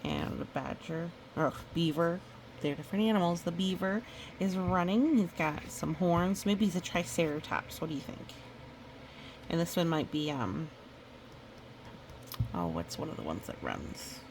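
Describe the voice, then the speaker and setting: A woman reading a picture book aloud in a chatty, expressive way, with casual asides. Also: fairly low pitch, a little slow, a little hiss or hum, poor audio. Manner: chatty, expressive storytelling with playful sound effects. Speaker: storybook reader.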